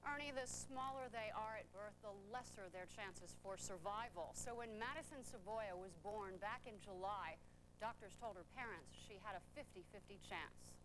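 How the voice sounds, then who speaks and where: A woman's voice: medium pitch, normal speed, background noise. A woman delivering a scripted television news report.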